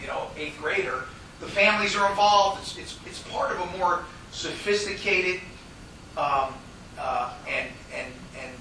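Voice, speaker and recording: American man, law lecturer, high-pitched and conversational, teaching in a very reverberant room. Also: pushed and bright. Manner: emphatic, conversational, acts out quoted dialogue. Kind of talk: informal classroom lecture. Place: classroom, recorded from a distance. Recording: echoey room, distant mic, faint noise.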